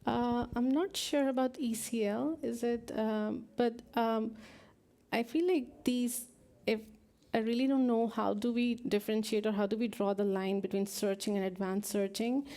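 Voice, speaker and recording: Low-key, gravelly woman speaker. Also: fairly even intonation, normal speed. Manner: soft-spoken, laughs nervously, frank about not knowing. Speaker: conference speaker answering questions. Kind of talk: live Q&A after a technical talk. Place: conference hall.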